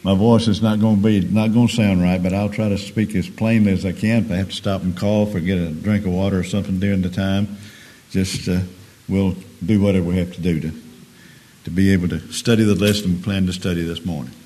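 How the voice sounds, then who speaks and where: A middle-aged man preaching a sermon in a hoarse, raspy voice, speaking plainly with homespun examples and frequent restarts. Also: deep voice, steady tone, brisk, dark tone.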